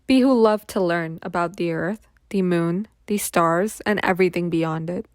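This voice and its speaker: A woman's voice: fairly low pitch, normal speed, dry recording, clean studio sound. A woman reading a scripted children's story as its narrator.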